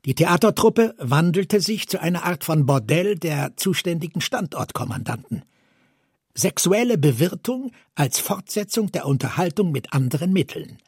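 Very husky, higher-voiced man reading prose. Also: very animated, normal speed, no room sound, studio quality. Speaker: co-reader. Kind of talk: literary reading of prose and quotations.

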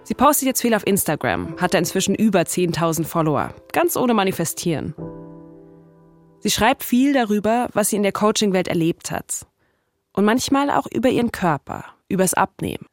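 A woman's voice: medium pitch, very animated, normal speed, faint music behind. A woman with a standard German accent delivering scripted narration as a podcast host.

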